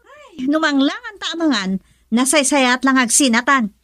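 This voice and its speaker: A woman's voice: sits high, moderate pace, pushed and bright. An actress playing a discontented young wife who nags and complains at her poor husband in an Ilocano radio drama. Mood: exasperated. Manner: complaining, exasperated.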